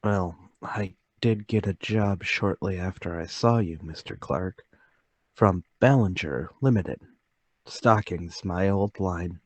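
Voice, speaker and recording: A man's voice: sits low, takes it slowly, dry recording, highs cut off. A man playing a meek, troubled middle-aged salesman in a radio play table read, hesitant and apologetic. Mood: uneasy, apologetic.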